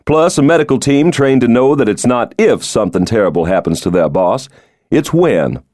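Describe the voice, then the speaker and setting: A man's voice: mid-range voice, expressive, normal speed, darkish tone, dry recording, clean studio sound, pitched above the performer's own voice, close to an everyday voice. A man voicing a breezy, folksy middle-aged American President who jokes heartily, in an audiobook. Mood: wry. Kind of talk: audiobook character dialogue.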